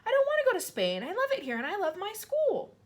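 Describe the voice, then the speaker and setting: A woman voicing a desperate, bright little girl who cries out urgently in a high, breathless voice. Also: huge pitch swings, fast, full character voice. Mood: pleading.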